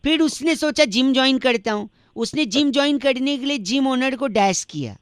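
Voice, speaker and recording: A man's voice: extremely high pitch, expressive, normal speed, dry recording, clean studio sound. A man playing a cheeky, persistent young prank caller, teasing and needling with mock politeness in a radio comedy call. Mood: playful, riddling.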